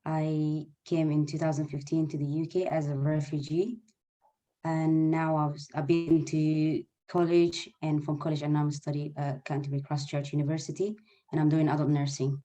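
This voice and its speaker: A man's voice: fairly high pitch, monotone, moderate pace, dark tone. A young man from Eritrea who grew up in Sudan, a native Arabic speaker, answering interview questions earnestly in a webinar, with small grammar slips. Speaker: guest, nursing student and former refugee.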